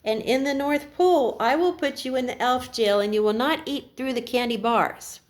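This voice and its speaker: A woman voicing Santa Claus as a stern old man scolding naughty elves in a slow, emphatic way. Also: sits high, lightly acted.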